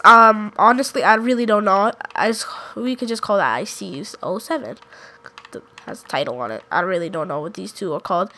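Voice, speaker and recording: A woman's voice: mid-range voice, fairly bright tone. Congested woman giving rambling game callouts. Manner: rambling, self-correcting, unsure. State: congested from a cold. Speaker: gaming YouTuber. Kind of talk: casual gameplay commentary.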